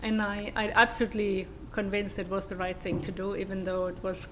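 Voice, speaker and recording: A woman's voice: mid-range voice, flat delivery, fairly quick, background noise. A woman from southern Germany with a German accent, speaking as a guest in a casual interview and talking hesitantly with many fillers and restarts. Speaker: guest, sustainability consultant.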